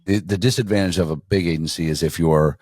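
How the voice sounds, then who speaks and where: A man's voice: low-pitched, fast, darkish tone, husky, dry recording, studio quality. A man co-hosting a podcast, asking loose, rambling questions in easy conversation. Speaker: podcast co-host. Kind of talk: casual podcast interview.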